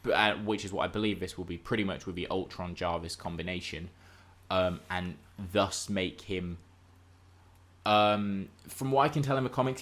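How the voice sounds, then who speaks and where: A man's voice: sits low, a bit bright. A man hosting a podcast, chatting casually about pop culture in an opinionated, theatrical way. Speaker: podcast host.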